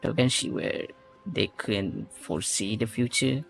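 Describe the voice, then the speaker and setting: A man's voice: on the low side, lively intonation, unhurried, gravelly. A man hosting a reaction video, giving casual commentary and analysis as he thinks aloud and repeats phrases. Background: faint music.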